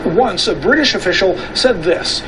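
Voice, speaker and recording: Animated male narrator in heavy noise. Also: high voice, moderate pace. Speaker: report narrator. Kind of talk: scripted news report narration. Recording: very noisy archived TV report.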